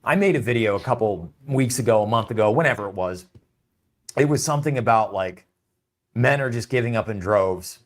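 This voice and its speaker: A man's voice: lowish voice, animated, a little fast. A man ranting in his own online video, mocking and piling up exaggerated warnings of doom. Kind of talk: ranting monologue.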